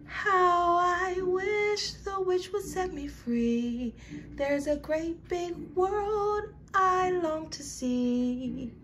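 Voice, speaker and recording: A woman reader voices a lonely young maiden from a fairy tale. The voice is high, soft-tempered and slow, half singing with longing. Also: soft background music, exaggerated voice. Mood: wistful.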